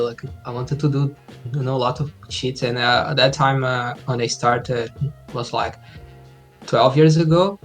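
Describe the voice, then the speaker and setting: Brazil-born man, thirties, on a laptop call with faint music behind, easygoing and hesitant. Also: medium pitch. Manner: hesitant, many fillers, easygoing. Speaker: indie game developer guest. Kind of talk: casual interview chat. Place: home room, indoors. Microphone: laptop video call.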